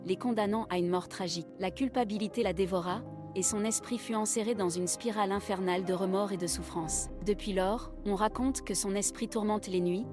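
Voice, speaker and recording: A woman delivers a scripted narration of a legend. Her reading is measured and solemn. Music plays clearly beneath her voice. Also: medium pitch. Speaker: narrator of a played clip.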